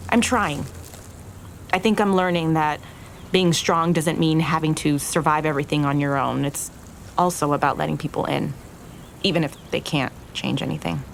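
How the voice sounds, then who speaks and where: A young woman in an audio drama, earnest and full of regret. Her voice sits low with a creaky edge, and she opens up haltingly, as if confessing. There is faint noise behind her. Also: steady tone. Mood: resigned, hopeful. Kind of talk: naturalistic audio drama acting.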